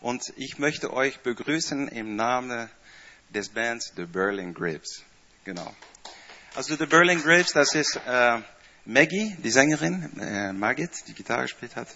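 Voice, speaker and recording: A man in his fifties speaks German with a Dutch and French accent, addressing a congregation in a friendly, rambling way. He pauses often with fillers and small slips. The recording sounds a little dull. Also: mid-range voice, lively intonation, bright, forceful tone. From Netherlands. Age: 50s. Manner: chatty, hesitant with many fillers, small grammar slips. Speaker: church staff member giving a greeting. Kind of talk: informal speech to a congregation.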